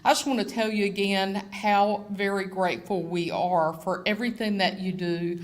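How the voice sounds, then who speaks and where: A woman with a mid-range voice makes announcements at a college event. She thanks the students gratefully and pauses on small fillers as she goes. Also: mid-range voice, steady tone. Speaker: event host.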